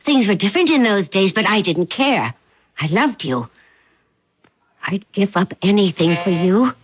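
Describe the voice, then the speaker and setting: An actress in a radio drama playing a possessive wife who mocks her husband in sugary, drawn-out words. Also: mid-range voice, very animated, slightly rough. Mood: wistful, controlling. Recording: dull vintage radio drama recording.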